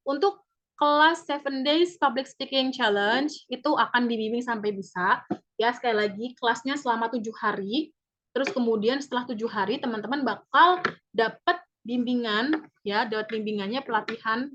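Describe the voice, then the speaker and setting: Upbeat lady doing a webinar pitch, keeps repeating stuff, kinda muffled sound. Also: fairly bright tone. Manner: chatty, upbeat, repeats key points. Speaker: public speaking trainer. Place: online webinar. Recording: dull lo-fi webinar audio.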